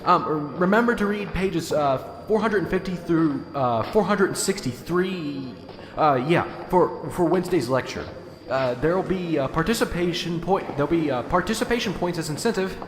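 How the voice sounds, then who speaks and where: A man playing a nervous, absent-minded professor who stammers and trails off in halting, flustered phrases. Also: fairly high pitch, lively intonation, reverberant, long reverb tail, slight background noise, pitched above the performer's own voice, natural voice. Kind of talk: amateur radio drama acting.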